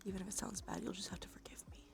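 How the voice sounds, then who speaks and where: A woman doing gentle, unhurried ASMR in a breathy whisper and soft murmur, her nose slightly stuffy. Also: medium pitch, flat delivery. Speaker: ASMR streamer, host. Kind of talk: ASMR, soft intimate role play and rambling. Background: soft music.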